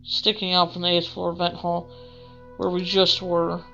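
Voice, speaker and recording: A woman's voice: fairly low pitch, monotone, unhurried, gravelly, a bit bright, dull audio, faint music behind. A woman hosting a gaming let's play, reading aloud in a dramatic manner with the odd stumble. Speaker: gaming channel host.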